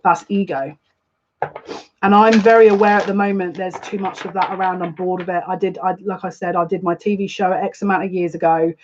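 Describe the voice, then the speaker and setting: A woman's voice: fairly low pitch, steady tone, a little fast, a little mellow, muffled recording, slight background noise. A woman hosting a live spiritual reading, speaking earnestly and confidingly, often repeating her phrases. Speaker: psychic livestream host.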